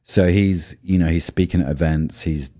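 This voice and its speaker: A man co-hosting a podcast in casual chat, his deep baritone made rougher by a cold, thinking aloud with many ums and restarts. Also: fairly even intonation, normal speed, warm tone. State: voice low and rough from a cold spell. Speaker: podcast co-host.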